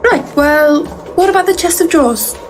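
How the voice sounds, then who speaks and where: Very high-voiced, exasperated sceptic. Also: female voice, animated, moderate pace, music in the background. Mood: incredulous. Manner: incredulous, pointed. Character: sceptical, exasperated female observer of ghost hunters. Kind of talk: full-cast comedy audio drama.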